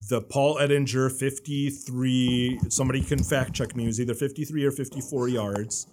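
A man in his forties chatting as a studio guest, loud and animated, sitting back from the microphone. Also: medium pitch, normal speed, background noise. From Metro Detroit area. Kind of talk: casual chat. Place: radio studio. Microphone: sitting far back from the studio mic.

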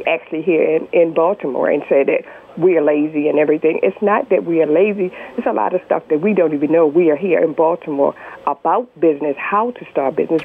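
Gravelly American woman on a noisy phone line. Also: low-pitched. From United States. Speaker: caller, works with schoolchildren. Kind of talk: conversational call-in remarks. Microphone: telephone call.

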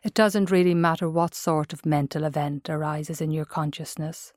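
An Irish woman narrates a guided mindfulness meditation in a low-pitched voice. Her delivery is gentle and measured, like careful instruction. The sound is dry, with no room around it. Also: fairly even intonation, no echo.

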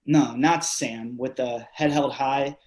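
A man acting as an excitable, over-dramatic male best friend in a play reading, effusive and grandiose with slangy delivery. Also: mid-range voice, slow, echoey room, close to an everyday voice. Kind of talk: theatrical readers-theatre stage acting.

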